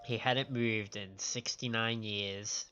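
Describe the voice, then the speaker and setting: A man's voice: fairly low pitch, fairly even intonation, takes it slowly, bright, forceful tone. Chatty male streamer, slightly dull audio. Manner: joking, chatty. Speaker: live streamer, host. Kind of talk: casual game playthrough commentary. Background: faint game music.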